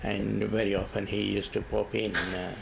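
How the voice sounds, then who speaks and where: An older retired man, born in India, answering oral history questions in a halting way with many pauses and fillers. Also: on the low side, level tone, vocal fry, background noise. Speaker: interviewee.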